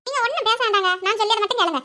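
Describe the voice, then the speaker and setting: A woman's voice: very high voice, lively intonation, racing, bright, forceful tone, heavily acted. A woman playing a domineering, scheming middle-aged stepmother in a family melodrama, with a Kanyakumari Tamil accent. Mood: haughty, scolding.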